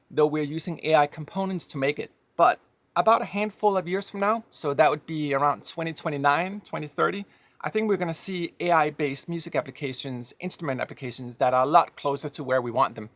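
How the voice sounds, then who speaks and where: A man's voice: a little high, fairly quick, fairly bright tone, no echo. A man from Denmark with a slight Danish accent, giving a reflective, conversational monologue with firm opinions. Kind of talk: personal monologue.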